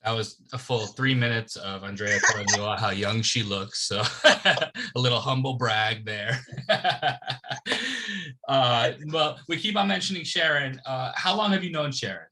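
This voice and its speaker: A man's voice: mid-range voice, measured pace, bright, forceful tone. A man hosting an interview, chatting casually and teasing his guest with easy humor. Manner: joking, easygoing. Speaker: host.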